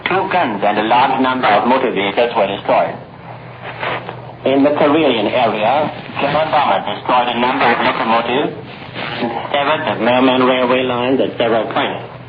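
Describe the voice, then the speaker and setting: A noisy, dull shortwave recording from the 1940s carries a male newsreader. He has a mid-pitched voice and delivers a scripted bulletin in a formal, measured way. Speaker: radio newsreader.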